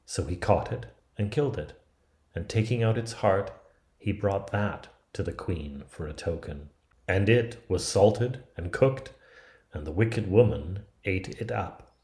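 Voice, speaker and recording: A man in his fifties reading a storybook aloud in a measured, expressive, dramatic way. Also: sits low. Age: 50s. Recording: roomy, echoing sound.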